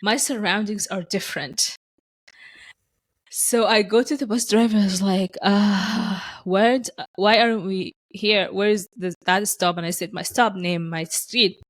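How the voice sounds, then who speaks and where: A woman hosting a podcast, telling stories in casual conversation with lively restarts. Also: mid-range voice, unhurried. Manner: animated storyteller, acts out quoted voices; frequent restarts and fillers. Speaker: podcast host.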